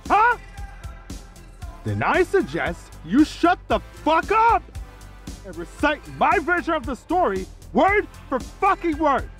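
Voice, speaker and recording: A man's voice: very high-pitched, huge pitch swings, slow, a bit bright, soft background music, full character voice. A man playing a ruthless, coldly calculating corporate agent in an audio drama, controlled and menacing. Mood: menacing, angry. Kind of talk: dramatic audio roleplay acting.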